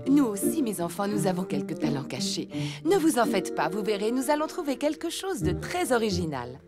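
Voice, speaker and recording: A woman playing a cheerful, enthusiastic elephant mum in a children's cartoon, expressive and motherly. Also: mid-range voice, fairly quick, loud music behind the voice, lightly acted.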